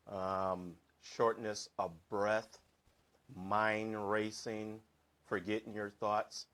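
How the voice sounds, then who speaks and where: Slow, deliberate low-voiced male host. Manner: deliberate and halting, with many fillers and restarts. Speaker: talk show host and hypnotherapist. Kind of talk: slow, earnest monologue introducing a guest.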